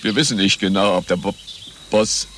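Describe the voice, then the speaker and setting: Deep, stammering, pompous spy chief. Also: male voice, normal speed, fairly bright tone, a little hiss or hum. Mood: grave, pompous. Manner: stammers, stumbles over words and slips. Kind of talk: satirical German radio play acting. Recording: music under the voice.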